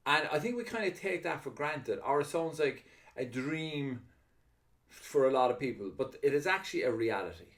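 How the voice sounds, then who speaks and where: A middle-aged Irish man presenting a live sales webinar, talking quickly and excitedly with an upbeat salesman's delivery over a computer mic. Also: mid-range voice. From Ireland.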